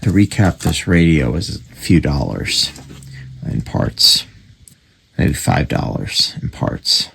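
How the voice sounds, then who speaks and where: Very deep, gravelly man, thinking aloud. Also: big pitch swings, takes it slowly, a bit bright. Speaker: radio repair hobbyist and video host. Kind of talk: casual hands-on repair narration, thinking aloud. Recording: clearly noisy, with clicks.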